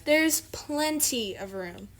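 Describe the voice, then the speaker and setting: A woman reads a hospitable lady rabbit from a picture book. She is high-voiced and cheerful, exclaiming as she bustles along. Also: expressive, takes it slowly, slight background noise, heavily acted. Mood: welcoming.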